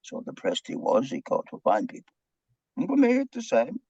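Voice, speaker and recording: Gravelly, gloomy Austrian psychiatrist, wildly swooping. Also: male voice, mid-range voice, wildly swooping pitch, normal speed, mellow, no room sound, muffled recording, exaggerated voice. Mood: despondent. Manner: broken English, lamenting, dramatic. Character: gloomy, self-pitying Austrian male psychiatrist, excitable. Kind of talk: play reading.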